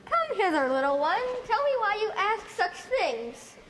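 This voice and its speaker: A woman's voice: very high-pitched, big pitch swings, measured pace, a bit bright, heavily acted. A woman playing a sly crocodile. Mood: coaxing. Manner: coaxing, then gloating. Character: sly, menacing crocodile who lures a child. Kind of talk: stage play acting. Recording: reverberant hall, faint noise.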